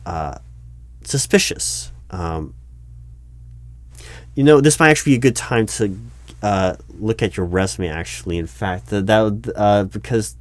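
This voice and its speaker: A man with a fairly low voice plays an awkward recruiting manager in an ASMR-style interview roleplay. He talks at full voice rather than whispering. His delivery is hesitant and stammering, politely skeptical as he questions a candidate. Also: unhurried, pauses often, no echo. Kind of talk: one-sided interview roleplay, ASMR spoken out loud. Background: computer keyboard typing.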